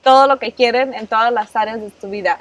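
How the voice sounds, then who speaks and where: A woman hosting a podcast interview, encouraging her guest and thanking him warmly as she wraps up. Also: a little high, brisk, a loud crowd. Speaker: podcast host. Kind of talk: interview host.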